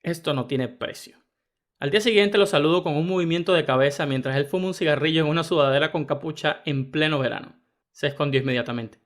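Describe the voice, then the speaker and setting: A man narrating first-person internet stories in a brisk, even reading style. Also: a little high. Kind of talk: scripted story narration.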